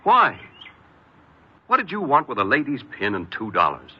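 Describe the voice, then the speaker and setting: A man's voice: mid-range voice, huge pitch swings, normal speed. An actor playing a stern, dismissive middle-aged father, brusque and impatient, in an old radio crime drama. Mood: exasperated. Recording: muffled, noisy old radio recording.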